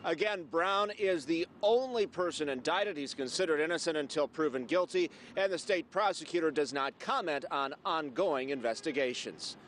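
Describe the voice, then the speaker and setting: Investigative reporter, male, American, higher register, scripted read over faint ambient noise. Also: moderate pace, hardly any pauses, a bit bright.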